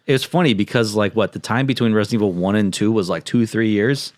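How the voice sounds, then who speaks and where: A man's voice: on the low side, fast talker, dry recording. A man co-hosting a podcast in an easygoing, informal way, chatting and reading from notes. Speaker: podcast co-host. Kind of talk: casual chat with reading from notes.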